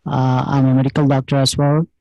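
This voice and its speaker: A medical doctor from India introducing himself, hesitant with fillers. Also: male voice, medium pitch, little pitch movement, a little fast, gravelly, warm tone, a little hiss or hum.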